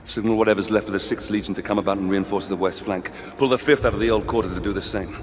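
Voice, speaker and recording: An actor playing a grim, defiant Roman general in a video game, commanding, intense and deliberate. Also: male voice, lowish voice, steady tone, close to an everyday voice. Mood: grim resolve. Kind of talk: dramatic video game cutscene acting.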